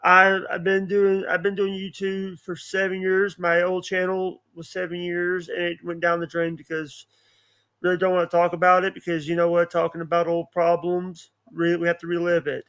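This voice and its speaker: A man with a high, bright and forceful voice, chatting as a podcast guest. His tone stays fairly level, and he speaks haltingly and earnestly, often repeating himself. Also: completely flat delivery. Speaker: podcast guest, online show creator. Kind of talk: casual chat.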